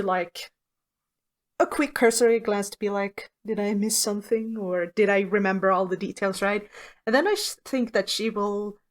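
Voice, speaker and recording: A woman playing a tabletop game, casually describing her character's actions and musing aloud in character. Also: medium pitch.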